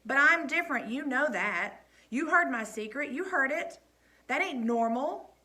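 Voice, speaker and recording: A desperate teenage boy from a storybook, his voice cracking as he pleads and snaps back. A woman reads him out high and bright, pushing hard through every word. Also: expressive, measured pace, exaggerated voice. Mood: tearful. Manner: pleading, voice breaking.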